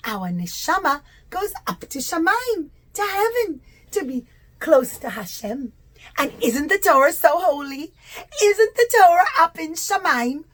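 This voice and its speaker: A woman voicing earnest, innocent Israelites who plead and explain simply in a children's puppet show. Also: high-pitched.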